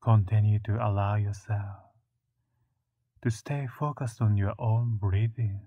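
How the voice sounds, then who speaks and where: A man leading a guided meditation in a soothing, measured way, with long pauses between short phrases and a narrow, muffled sound. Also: fairly low pitch, steady tone, husky, dry recording.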